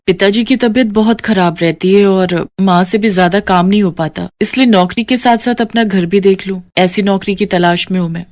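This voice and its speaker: A woman's voice: mid-range voice, moderate pace, few pauses, darkish tone, natural voice. A woman voicing a poor, earnest and polite young woman in a cartoon story. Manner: polite, deferential. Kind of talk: acted dialogue in a Hindi cartoon story.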